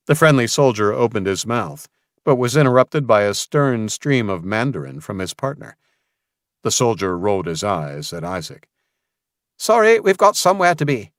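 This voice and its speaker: A man's voice: lowish voice, animated, normal speed, no echo, studio quality. A man reading a scripted story as a dramatic audiobook narrator.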